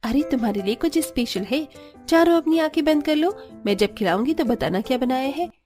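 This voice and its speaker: A woman's voice: high voice, expressive, fairly quick, a little mellow, faint music behind. A woman voicing a cheerful, affectionate young bride in a cartoon family story.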